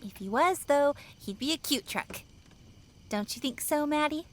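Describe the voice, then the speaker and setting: Woman playing a high-voiced bubbly college girl. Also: lively intonation. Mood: playful, teasing. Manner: playful, teasing, drawn-out delivery. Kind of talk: audio drama acting.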